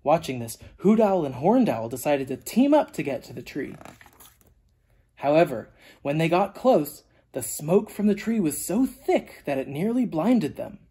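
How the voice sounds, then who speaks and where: Husky, expressive children's storyteller. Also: mid-range voice. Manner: animated, deliberate storytelling with dramatic emphasis. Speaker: storyteller reading a children's book aloud, teacher. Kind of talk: expressive read-aloud narration for children.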